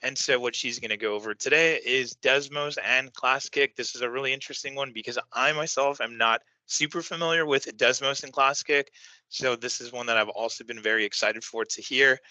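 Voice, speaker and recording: A man's voice: medium pitch, steady tone, a little fast, bright, forceful tone, dry recording, poor audio. A man hosting an online teacher training session on a video call, upbeat and encouraging as he introduces presenters.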